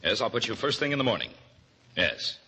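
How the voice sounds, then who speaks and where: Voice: mid-range voice, sing-song, fairly quick, sharp tone. An actor playing a timid, fussy mayor's aide with a hesitant, deferential manner in an old-time radio drama. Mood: busy, apologetic. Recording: hissy old radio recording.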